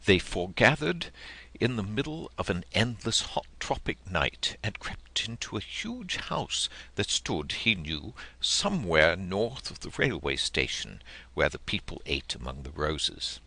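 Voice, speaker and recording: Male audiobook narrator for classic fiction: low-pitched, gravelly, measured and expressive. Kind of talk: dramatic literary audiobook narration.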